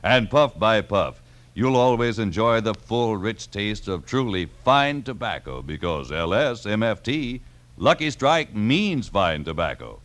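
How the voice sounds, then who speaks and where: A man's voice: on the low side, big pitch swings, unhurried, a bit bright. A man reading a 1950s radio commercial as an announcer, in a salesman's scripted style.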